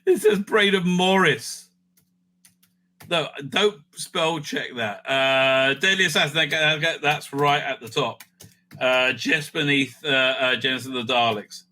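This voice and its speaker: A man giving casual, rambling fan commentary as he ranks things, excitable and sweary, sometimes muttering to himself. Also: medium pitch. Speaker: host of a fan ranking show.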